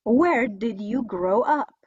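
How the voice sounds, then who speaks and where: Polish lady teaching english, talks slow n careful, kinda dull dry recording. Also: fairly high pitch, animated, darkish tone, breathy edge, no echo. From Poland. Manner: careful, instructional enunciation. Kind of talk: scripted video lesson with listen-and-repeat drills.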